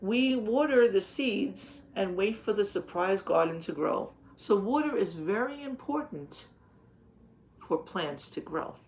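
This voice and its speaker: A woman in her thirties, a teacher, reading a picture book aloud to young children and explaining words simply, with gentle questions. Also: medium pitch, expressive, measured pace, low-quality recording. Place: small home office, indoors.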